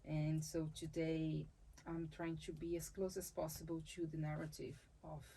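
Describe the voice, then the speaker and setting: Low, gravelly-voiced Brazilian woman. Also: flat delivery, moderate pace, dark tone, slight background noise, low-quality recording. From Salvador, Bahia, Brazil. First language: Portuguese. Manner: thoughtful, restarts phrases, laughs easily. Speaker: dancer and capoeira instructor, interview guest. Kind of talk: conversational interview.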